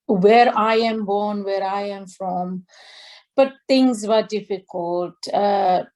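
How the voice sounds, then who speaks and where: A middle-aged woman from Tanzania, a singer on a video call, talking slowly with many fillers and restarts. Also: mid-range voice, mellow, low-quality recording. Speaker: guest singer. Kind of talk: interview over video call. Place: indoor home room.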